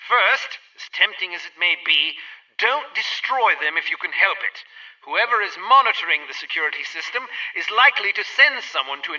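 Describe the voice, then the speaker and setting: A man's voice: high-pitched, pushed and bright, full character voice. An actor playing an instructive male tutor in a video game, explaining precisely and didactically, with a muffled, distant sound. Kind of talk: scripted game tutorial narration.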